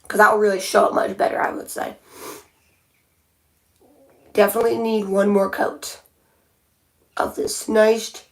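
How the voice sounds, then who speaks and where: Chatty woman thinking aloud while painting nails. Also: a little high. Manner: chatty, thinking aloud, frequent restarts and self-corrections. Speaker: nail art livestreamer. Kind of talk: casual livestream chat while painting nails. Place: home by a window.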